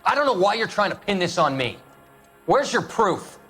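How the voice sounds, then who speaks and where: A man's voice: medium pitch, expressive, measured pace, a bit bright, faint music behind. A man playing a defensive, evasive suspect under questioning in a dramatic video game.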